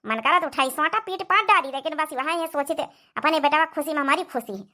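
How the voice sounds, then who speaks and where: A woman's voice: high-pitched, animated, quick pace, sharp tone. A woman playing a strict, scolding village mother-in-law in a cartoon comedy, with an Awadhi accent and a nagging, domineering manner. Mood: grumbling, bossy.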